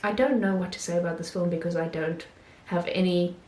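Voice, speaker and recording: A woman chats through her film reviews in a relaxed vlog, often pausing with ums and restarting her sentences. Her voice sits a little low. The recording has a noticeable room echo. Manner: hesitant, many ums and restarts. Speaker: film vlogger. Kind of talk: casual film review talk.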